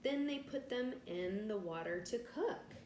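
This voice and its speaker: A woman's voice: medium pitch, a little slow. Woman reading a storybook in a roomy space. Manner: expressive, explains words simply for children. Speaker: teacher reading aloud to children. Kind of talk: storybook read-aloud with simple explanatory asides. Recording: roomy echo with faint background noise.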